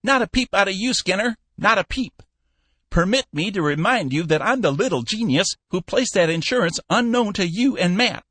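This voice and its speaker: A man voicing a cantankerous, bossy old shipping tycoon in an audiobook, shrill, scolding and full of bluster. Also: a bit bright, breathy edge, no room sound, exaggerated voice.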